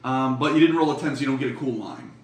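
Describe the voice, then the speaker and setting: A man's voice: medium pitch, quick pace. A man improvising as game master in a tabletop game, narrating casually with plenty of swearing. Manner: profane, informal. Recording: roomy echo, slightly distant mic.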